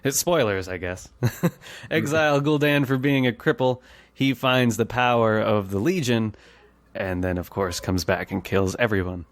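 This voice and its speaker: A man's voice: on the low side, a little slow, no echo. A man hosting a casual gaming podcast, chatting in an informal, enthusiastic way. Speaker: podcast host.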